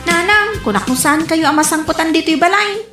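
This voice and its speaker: A woman's voice: extremely high pitch, brisk, loud music behind the voice. A woman playing a pert, plaintive grown daughter in an Ilocano radio comedy drama, with an Ilocano accent. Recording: roomy, echoing sound.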